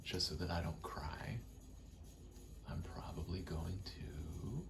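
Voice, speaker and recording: A man softly rambling in a casual ASMR style, breathy and often whispering, sweary and self-deprecating. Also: deep voice, fairly even intonation, slow, over music. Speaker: video creator rambling to camera. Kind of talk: soft-spoken ASMR ramble. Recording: clear background noise, rattling vent. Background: rattling vent noise.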